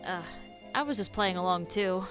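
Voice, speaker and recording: A woman's voice: mid-range voice, animated, normal speed. A woman streamer giving casual live reactions to a video game, in short offhand comments. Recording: game music behind the voice.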